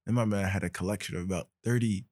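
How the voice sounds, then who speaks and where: Deep-voiced young man telling a story. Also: fairly even intonation, fast, darkish tone, dry recording, clean studio sound. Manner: reflective, storytelling, frequent fillers. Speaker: podcast host. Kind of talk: casual podcast conversation.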